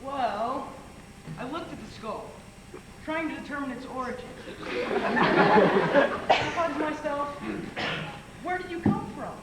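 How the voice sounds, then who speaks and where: A young man playing a joking, dramatic friend in an amateur stage play, telling things in a theatrical, drawn-out way. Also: very high-pitched, animated, exaggerated voice. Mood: theatrical storytelling. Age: young adult. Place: stage in a school auditorium. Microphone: far camera. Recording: distant camcorder in echoey noisy theater, audience.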